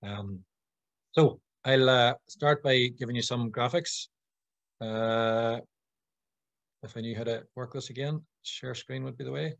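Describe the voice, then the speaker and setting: A man's voice: medium pitch, unhurried, creaky, long pauses, fairly bright tone, dry recording, lo-fi sound. A man in his forties with a Northern Irish accent, presenting conversationally and a little hesitantly as a guest speaker on a video call. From Northern Ireland. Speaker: guest speaker, sales consultant. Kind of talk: informal business presentation. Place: room at home or small office, indoors. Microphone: computer mic on video call.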